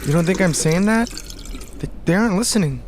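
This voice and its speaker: A man's voice: sits high, lively intonation, brisk, noisy background. A man playing a tender, placating boyfriend in a radio drama, speaking intimately and coaxingly. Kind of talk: post-apocalyptic radio drama acting.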